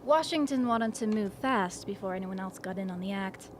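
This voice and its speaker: A woman's voice: medium pitch, moderate pace, lightly acted. A woman playing a composed, authoritative commander of an Antarctic survey in a radio drama. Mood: measured. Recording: faint background noise.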